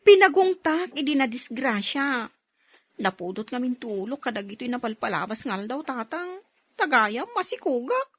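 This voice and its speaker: High-voiced, emotive young Ilocano wife played by a woman. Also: big pitch swings, moderate pace. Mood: remorseful. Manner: scolding, emotive. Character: nagging, quick-tempered young Ilocano wife, pregnant, devout. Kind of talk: amateur radio-style drama acting.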